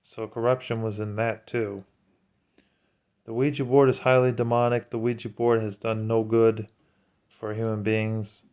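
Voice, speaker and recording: A man with a fairly low, clear voice gives an informal religious talk. His delivery is earnest and preachy, with little melodic movement in the voice. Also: flat delivery, measured pace, narrow, tinny audio. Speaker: religious commentator.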